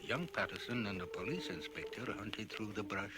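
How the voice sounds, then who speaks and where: An actor playing an emphatic, intense storyteller narrating a jungle adventure for a radio drama. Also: male voice, lowish voice, normal speed, lightly acted. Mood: suspenseful. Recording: very noisy old radio recording.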